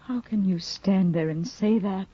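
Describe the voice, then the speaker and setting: A devoted, heartbroken young woman in an old radio drama. She pleads and cries out, and her voice is slightly husky. The sound is dry and dull, like a 1950 broadcast. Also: medium pitch, measured pace, dark tone, dry recording. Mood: distraught. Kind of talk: 1950s radio drama acting.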